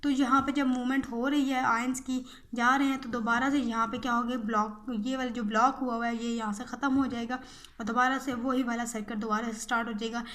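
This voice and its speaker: A woman's voice: a little high, little pitch movement, fairly quick, a bit bright. A young woman giving an informal explanatory lecture, repeating herself, asking and answering her own questions and mixing in English technical terms. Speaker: physiotherapy teacher.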